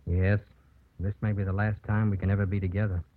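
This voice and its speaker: An actor playing an earnest, protective lawyer in a 1950s radio drama, gentle but insistent. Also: male voice, lowish voice, level tone, a little fast, no room sound, natural voice. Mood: tender, urgent. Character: earnest, protective male defense lawyer in love. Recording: muffled old 1950s radio broadcast.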